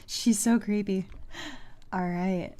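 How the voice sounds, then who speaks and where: A woman giving casual game commentary on a livestream, halting as she thinks aloud. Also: mid-range voice, fairly even intonation, mellow. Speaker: game streamer.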